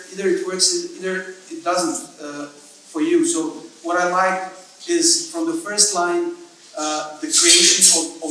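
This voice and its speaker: A man with a fairly high voice talks slowly and hesitantly. He gropes for words and muses philosophically, like a writer on a panel. He is recorded from afar, and the sound carries a heavy room echo. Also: a bit bright, a little hiss or hum. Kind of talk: reflective conversation at a literary event. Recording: echoey room, mic far off.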